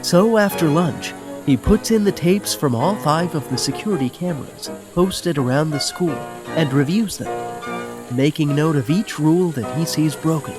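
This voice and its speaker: A man narrating a scripted comedic audio drama in a measured, wry way. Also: a little high, lively intonation, over music.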